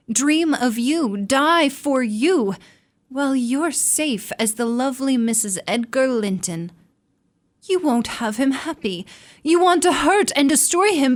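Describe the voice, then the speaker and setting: An actress playing a vain, lovestruck young lady, haughty and insistent, in radio melodrama. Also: sits high. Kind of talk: melodramatic old-time radio drama acting.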